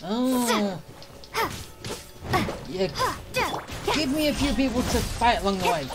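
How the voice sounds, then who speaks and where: A woman comments casually on her own gameplay in short, offhand bursts. Her slightly high voice moves up and down a lot. Loud game music plays behind her. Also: animated, slow. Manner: short offhand reactions, muttering to self. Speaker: gamer giving live commentary. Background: loud game music and effects.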